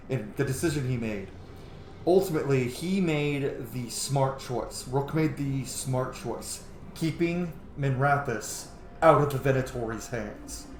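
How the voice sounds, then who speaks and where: A man giving casual, reflective game commentary, thinking aloud in a halting, informal way. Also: medium pitch, darkish tone, echoey room, slight background noise. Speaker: gamer, host of a roleplay playthrough.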